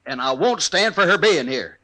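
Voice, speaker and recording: A man's voice: on the high side, lively intonation, normal speed, sharp tone, a little hiss or hum. An actor playing a hostile, bigoted townsman in a radio western, combative and sneering in rustic speech. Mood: angry, bitter. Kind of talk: old-time radio western acting.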